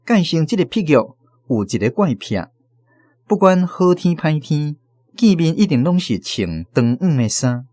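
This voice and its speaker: Lively Taiwanese male storyteller. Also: on the high side, measured pace, no room sound, studio quality. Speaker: narrator. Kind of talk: scripted storytelling narration.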